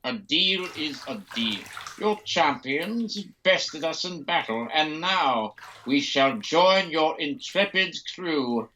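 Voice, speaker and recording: Man as a bright-voiced, suave squid soldier. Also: on the high side, lively intonation, slow pace, hardly any pauses, slight background noise, full character voice, low-quality recording. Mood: courtly. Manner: florid, courtly. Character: suave, flirtatious squid legionnaire, male. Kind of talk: audio comedy drama acting.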